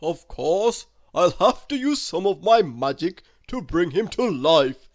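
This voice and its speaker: A man voicing a jolly old Santa Claus in a children's storybook, big, loud and emphatic, with drawn-out words. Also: high-pitched, sing-song, no room sound. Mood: scheming. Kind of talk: children's storybook read-aloud.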